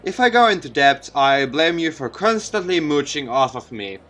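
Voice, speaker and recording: A man's voice: fairly high pitch, sing-song, moderate pace, fairly bright tone. A man voicing an awkward, wry teenage boy, the hesitant protagonist of a visual novel.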